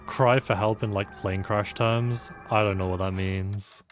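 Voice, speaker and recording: A man's voice: fairly low pitch, steady tone, measured pace, faint music behind. A man streaming a game, thinking aloud about a puzzle in a casual, sleepy, self-deprecating way. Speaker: gameplay streamer. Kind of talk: casual let's-play commentary.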